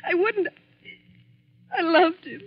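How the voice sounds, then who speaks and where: An actress playing a frantic accused wife, crying and pleading in a 1940s radio drama. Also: female voice, very high voice, takes it slowly, full character voice. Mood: hysterical, pleading. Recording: muffled 1940s radio recording.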